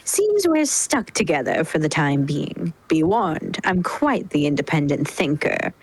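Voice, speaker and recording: A woman's voice: sits low, sing-song, a little slow, husky, no room sound, exaggerated voice. A woman playing a haughty, coolly menacing male alien overlord with a formal, sardonic drawl. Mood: dry, haughty.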